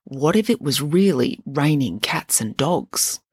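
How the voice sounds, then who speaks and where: A woman's voice: deep voice, expressive, a little slow, husky, no room sound, heavily acted. A woman voicing a wry, sarcastic school-age daughter in a close-to-natural voice.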